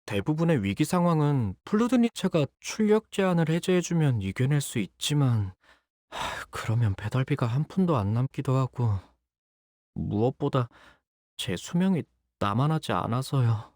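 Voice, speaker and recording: A man playing an earnest, dutiful young fixer in a video game, polite and soft-spoken but easily flustered. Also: medium pitch, sing-song, normal speed, no room sound, clean studio sound. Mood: weary, busy. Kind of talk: expressive game character voice lines.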